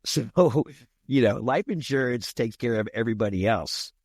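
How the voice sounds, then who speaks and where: A man's voice: medium pitch, lively intonation, normal speed, a little mellow, no room sound, studio quality. A man in his sixties co-hosting a podcast, talking in a folksy, repetitive way with the odd chuckle. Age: 60s. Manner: folksy, repeats himself, chuckles. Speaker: podcast co-host. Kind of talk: casual podcast discussion.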